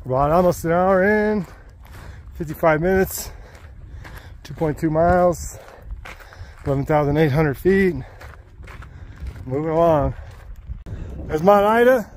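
Male hiker, middle-aged, terse matter-of-fact vlog narration, phone mic outdoors, a touch high-pitched. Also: a little slow, long pauses, darkish tone. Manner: terse, matter-of-fact, clipped status remarks. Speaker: hiker filming his climb. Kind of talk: casual trail vlog updates. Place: outdoors on a high mountain trail. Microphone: handheld phone camera. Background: faint wind.

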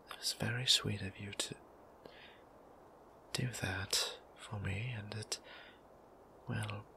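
A man voicing a shy, gentle, centuries-old vampire priest in an intimate ASMR roleplay, breathy and halting, with genteel old-fashioned wording and a flustered stammer. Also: a little hiss or hum, exaggerated voice. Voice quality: breathy, whispery, low.

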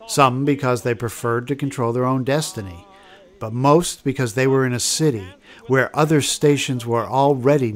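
An American man reading scripted documentary narration. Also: medium pitch.

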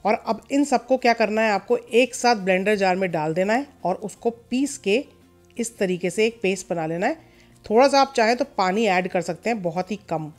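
A woman hosting a cooking channel, calmly talking viewers through a recipe step by step in a voice-over and mixing in English words. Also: mid-range voice, moderate pace, soft background music.

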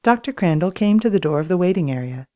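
A woman's voice: lowish voice, expressive, fast, highs cut off. A woman reading scripted children's book narration as an audiobook narrator.

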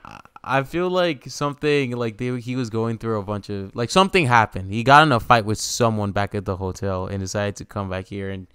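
A young man chatting casually as a reaction video host, slangy and excitable. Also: fairly low pitch, brisk, hardly any pauses, clean tone, dry recording, clean studio sound.

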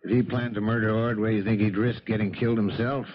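An actor playing a down-to-earth, doubting detective sidekick with blunt delivery in a 1970s radio mystery. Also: male voice, lowish voice, little pitch movement, fast talker, lightly acted. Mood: skeptical. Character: skeptical, down-to-earth male police detective sidekick. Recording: muffled 1970s radio broadcast.

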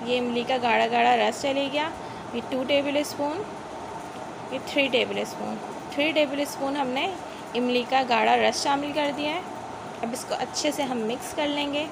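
A woman's voice: high voice, gravelly. A woman hosting a home cooking video, chatty and homely, talking viewers through each step in a home kitchen. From Pakistan. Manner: homely and chatty, keeps saying "see" to viewers. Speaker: home cooking channel host. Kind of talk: step-by-step recipe voice-over. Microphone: phone or camera filming a countertop from above. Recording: noisy home phone recording.

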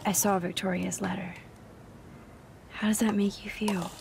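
An actress voicing a caring young woman student in a video game, soft-spoken and low-key. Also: flat delivery, a little slow, gravelly, background noise.

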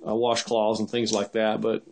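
A man's voice: on the low side, completely flat delivery, moderate pace, gravelly, noisy background. A man casually narrating a video he is filming in his home kitchen, explaining each step and laughing a little now and then. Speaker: channel host filming a demo. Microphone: handheld camera mic.

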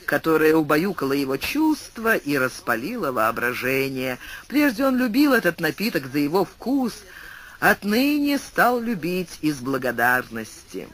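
A man reading a classic tale aloud as an audiobook narrator, in expressive scripted storytelling. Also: sits high.

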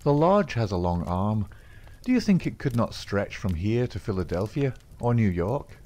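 A man reading aloud, voicing a smooth-talking Irishman in a secret society who reassures his sweetheart. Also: fairly low pitch, sing-song, moderate pace. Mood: coaxing. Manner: coaxing, reassuring, soft-spoken.

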